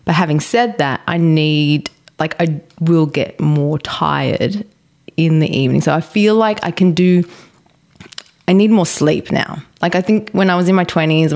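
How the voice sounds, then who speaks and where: A woman in her early forties co-hosting a podcast, chatting in a thoughtful way with frequent pauses and fillers. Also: sits low, a little mellow. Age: 40s. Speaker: podcast co-host. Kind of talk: casual chat.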